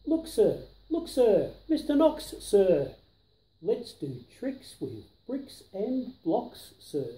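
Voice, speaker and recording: A man's voice: high voice, huge pitch swings, slow, warm tone, echoey room, pitched above the performer's own voice, heavily acted, low-quality recording. An older man voicing a bossy, playful fox from a storybook who sets tongue-twister challenges in an insistent sing-song way.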